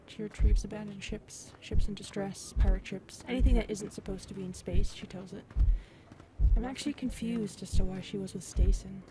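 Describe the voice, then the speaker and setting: A woman voicing an affectionate, playful alien lover in an ASMR roleplay, speaking softly and intimately and often dropping into breathy whispers. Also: medium pitch, steady tone, normal speed, heavy background noise, natural voice. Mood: chatty, explaining. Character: affectionate, playful adult female alien lover, tall otherworldly species.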